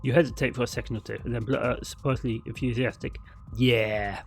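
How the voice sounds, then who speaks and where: A man with a medium-pitched voice narrates a visual novel. He reads scripted prose in a dramatic storytelling manner, occasionally tripping on a word. Soft music plays faintly behind him. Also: fairly even intonation, racing, gravelly. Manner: dramatic storytelling with occasional stumbles over words. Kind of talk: scripted narration read aloud.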